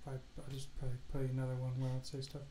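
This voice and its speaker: A man's voice: mid-range voice, monotone, rapid-fire, warm tone. A man co-hosting a casual livestream chat, joking and telling stories while acting out quotes. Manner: joking, storytelling, acts out quotes. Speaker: co-host. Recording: echoey room.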